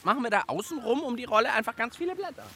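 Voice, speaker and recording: A man's voice: extremely high pitch, animated, racing, bright, forceful tone, a little hiss or hum. A man hosting a children's radio show outdoors among autumn leaves, chatting playfully and explaining what he is doing. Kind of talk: lively radio chat while building.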